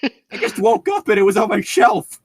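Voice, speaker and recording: Sardonic, swearing guy with very animated pitch. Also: normal speed, husky, no room sound, studio quality. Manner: profane, sardonic. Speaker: podcast co-host reading fan fiction aloud. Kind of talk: casual riffing chat.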